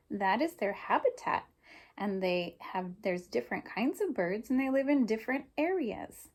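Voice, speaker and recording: A woman's voice: medium pitch, a little mellow. A woman teacher reading a picture book aloud to young children, explaining simply and prompting them with questions.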